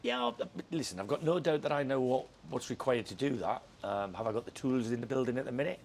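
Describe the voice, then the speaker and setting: A man's voice: medium pitch, fast, darkish tone, slight background noise. A man who manages a football club, talking frankly and reflectively in a post-match interview, often repeating words as he thinks. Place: football stadium.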